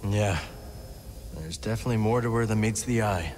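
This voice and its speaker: An earnest young Jedi hero, acted by a man for a video game. His voice sits on the low side, understated and wry. It echoes in a big space over steady background noise. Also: fairly quick, close to an everyday voice. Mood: calm, warm. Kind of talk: cinematic video game acting.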